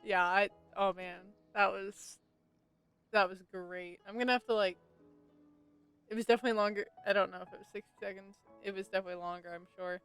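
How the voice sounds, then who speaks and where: A woman's voice: mid-range voice, steady tone, a little fast, a bit bright, clean tone. A woman chatting casually and enthusiastically as she streams a game. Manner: gushing, enthusiastic. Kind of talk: casual livestream gaming chat. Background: game music.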